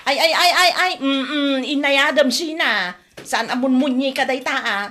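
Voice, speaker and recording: A woman's voice: sits high, unhurried, sharp tone, soft background music. A woman with an Ilocano accent playing a dramatic, complaining wife and new mother in a comedy radio drama.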